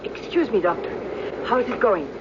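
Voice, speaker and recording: An actor in a radio drama playing a nervous, hesitant messenger aboard a troubled airliner, halting and stammering. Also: male voice, high-pitched, lively intonation. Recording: dull, noisy old radio drama recording. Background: aircraft drone.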